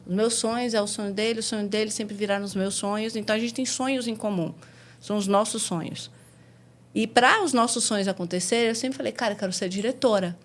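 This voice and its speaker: A woman's voice: mid-range voice, steady tone, fast talker. A woman in her forties from Salvador with a Bahian accent, frankly telling the story of her career in a casual conversation, with slang and the odd swear word. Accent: Bahian Portuguese. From Salvador, Brazil. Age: 40s. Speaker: guest.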